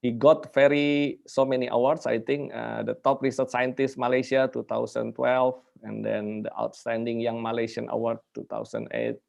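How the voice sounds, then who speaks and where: A man hosting a university webinar, introducing the guest speaker over a video call in a hesitant, halting way with many fillers. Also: mid-range voice, level tone, vocal fry, a little mellow. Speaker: webinar moderator, university host.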